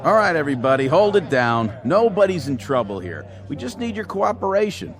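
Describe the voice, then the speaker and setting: An actor playing a calm, firm state trooper questioning people in an audio drama. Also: male voice, fairly high pitch, fairly quick. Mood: calm authority.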